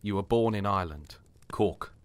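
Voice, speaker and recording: A man playing a gentle, mysterious stranger in a naturalistic drama reading, soft-spoken, hesitant and earnest. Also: fairly low pitch, moderate pace, lightly acted. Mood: earnest, insistent.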